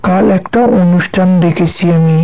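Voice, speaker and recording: A woman's voice: on the low side, steady tone, normal speed, mellow, lo-fi sound, close to an everyday voice. A woman playing a commanding, vengeful demon fairy in a fairy-tale cartoon. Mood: imperious, warning. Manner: commanding, menacing. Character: imperious, vengeful demon fairy who lays curses.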